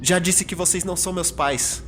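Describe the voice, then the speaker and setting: A man's voice: high voice, a little fast, fairly bright tone, faint music behind, pitched above the performer's own voice. A man voicing a frightened, angry young girl in a horror story, pleading and protesting in desperation. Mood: furious, defiant. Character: terrified, furious, crying young girl resisting ghosts.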